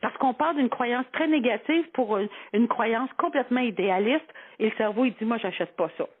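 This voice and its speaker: A woman's voice: a little high, normal speed, slightly rough. Québécoise woman on tinny, phone-like radio. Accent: Quebec French. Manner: informal, illustrates points with imagined quotes. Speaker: psychology columnist on a radio show. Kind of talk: conversational advice segment, explaining with everyday examples. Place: radio broadcast. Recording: tinny, phone-like band-limited radio audio.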